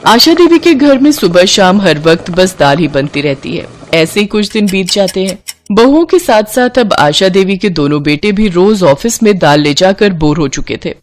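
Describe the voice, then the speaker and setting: A woman's voice: mid-range voice, animated, normal speed. A woman storyteller reading a scripted moral tale as narrator.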